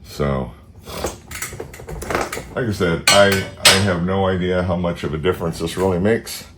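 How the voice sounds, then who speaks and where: A man's voice: sits low, measured pace, very noisy. A man with a stuffed-up nose from allergies, hosting a casual how-to vlog in his garage and thinking aloud with fillers. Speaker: car channel host. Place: home garage beside a car.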